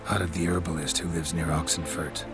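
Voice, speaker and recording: An actor playing a stoic monster hunter in a video game, laconic and deadpan, over music. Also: male voice, low voice, fairly quick, lightly acted. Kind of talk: video game character acting. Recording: loud music behind.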